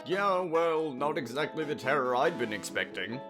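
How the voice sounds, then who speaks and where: A man's voice: on the high side, lively intonation, moderate pace, lightly acted. A man playing an earnest questing knight who tells his own fantasy tale in a dramatic manner, with a wry streak. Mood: wry, unimpressed. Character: earnest questing young male knight, wry and easily startled. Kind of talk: first-person dramatic fantasy narration. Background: music.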